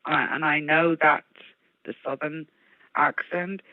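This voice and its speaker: A woman with a Birmingham accent and a gravelly voice, chatting casually and laughing easily. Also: low voice, steady tone, slow, dry recording. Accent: Birmingham English. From English Midlands. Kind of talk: casual chat. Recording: dull lo-fi dry recording.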